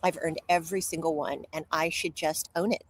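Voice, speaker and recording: A woman's voice: deep voice, steady tone, brisk, slight background noise. A woman speaking as an interview guest and author, telling personal stories in a candid, open way.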